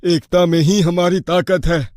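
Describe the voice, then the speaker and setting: A man's voice: sits high, steady tone, measured pace, darkish tone, no echo, clean studio sound. A man speaking tense, urgent lines in a horror story. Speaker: story character voice.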